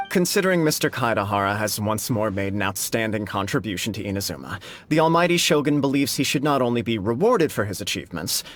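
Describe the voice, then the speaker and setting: A man's voice: lowish voice, natural voice. A man voicing a composed noble official who speaks in a polished, formal and carefully persuasive way, in a game cutscene. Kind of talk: video game cutscene dialogue.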